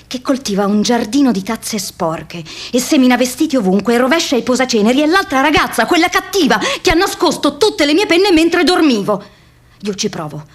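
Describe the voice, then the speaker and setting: An actress playing a restless, imaginative young American woman in an introspective, vivid radio theatre monologue. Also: on the high side. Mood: desperate, agitated. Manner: introspective, vivid first-person storytelling. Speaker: stage actress.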